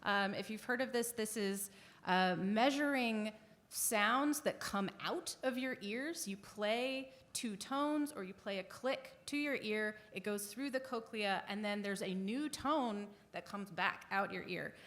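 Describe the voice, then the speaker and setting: A woman's voice: medium pitch, measured pace, a bit bright. A woman panelist on an auditorium stage explaining hearing science to a public audience.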